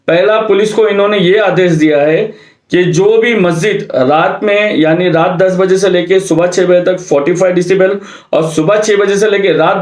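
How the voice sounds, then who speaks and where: Man, notably high voice, emphatic explanatory address, echoey room. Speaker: religious committee secretary.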